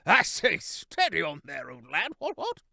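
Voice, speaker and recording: A man's voice: sits high, wildly swooping pitch, a little slow, vocal fry, pushed and bright, dry recording, clean studio sound, pitched above the performer's own voice, full character voice. A man voicing a jolly, plummy hare who blusters and says "what, what?". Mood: hearty. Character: jolly hare, plummy upper-class old soldier type.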